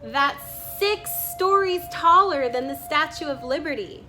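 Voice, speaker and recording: Lady reading a nature book out loud, takes it real slow, kinda high voice, music going behind her. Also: lively intonation, a little hiss or hum. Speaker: reader reading a children's nonfiction book aloud. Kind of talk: read-aloud narration of a nature book.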